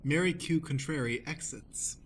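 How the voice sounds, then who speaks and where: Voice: mid-range voice, moderate pace. Announcer narrating over prominent music. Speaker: stage manager and narrator. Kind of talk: scripted-sounding announcing of scene directions.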